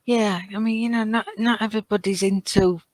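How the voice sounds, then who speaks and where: A woman chatting casually as a podcast panellist, choosing her words in a hesitant, measured way. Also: mid-range voice, fairly even intonation, a little mellow, no room sound.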